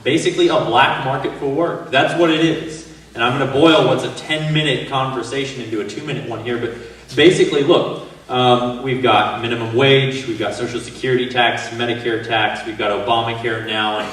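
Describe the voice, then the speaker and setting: Male candidate; brisk, list-driven, plain-spoken; distant mic, very echoey room, faint noise. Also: medium pitch, fairly even intonation, fairly bright tone. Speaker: political candidate. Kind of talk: candidate forum answer. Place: museum event hall.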